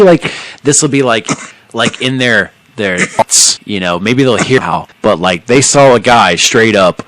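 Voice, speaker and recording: A young man with a Texan accent tells a story in casual podcast chat, laughing, swearing, and jumping up in pitch when he gets excited. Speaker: podcast co-host.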